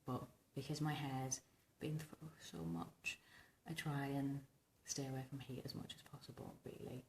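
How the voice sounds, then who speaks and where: A woman speaks in an extremely deep, smoky voice, hoarse and rumbling from a sore throat. She chats informally and rambles as she gives a casual tutorial to camera. Clear background noise sits behind her in a room at home. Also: level tone, moderate pace, reverberant. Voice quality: husky, rumbling, smoky voice from tonsillitis. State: recovering from tonsillitis, hoarse. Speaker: vintage hairstyling vlogger. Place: small domestic bedroom, indoors.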